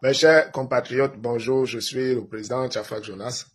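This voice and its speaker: A man's voice: mid-range voice, fairly even intonation, moderate pace, heavy background noise. A man from Cameroon giving an emphatic political monologue to viewers, lecturing and asking rhetorical questions. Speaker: political commentator.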